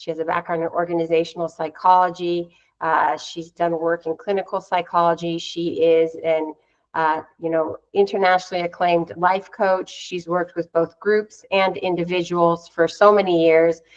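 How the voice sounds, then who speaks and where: A woman's voice: fairly low pitch, little pitch movement, moderate pace, muffled recording. A woman hosting an interview, introducing her guest in a conversational way. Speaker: interview host.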